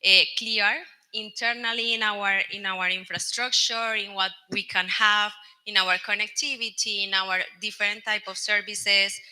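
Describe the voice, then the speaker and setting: A woman from Colombia speaks on a business panel with a clear Colombian accent, choosing her English words carefully. Her voice is very bright and she keeps an even, flat melody. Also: medium pitch, unhurried, low-quality recording. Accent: Colombian Spanish. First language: Spanish. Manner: careful non-native English with hesitations. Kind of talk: panel discussion answer.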